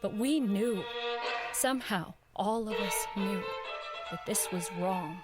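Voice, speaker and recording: A woman playing an uneasy radio producer who recalls eerie events in measured, dramatic audio drama narration. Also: medium pitch, natural voice. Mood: dread. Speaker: narrator and radio show director. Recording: violin music behind the voice.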